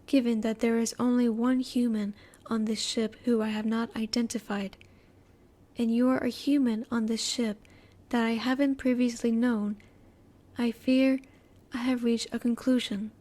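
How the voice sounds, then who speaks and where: A woman voicing a curious, reserved female alien scientist in a roleplay audio, speaking politely in formal, measured, halting phrases. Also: fairly high pitch, little pitch movement, mellow, close to an everyday voice. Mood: apologetic, formal. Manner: formal, measured, precise wording with halting pauses. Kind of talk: scripted roleplay audio, spoken intimately to the listener.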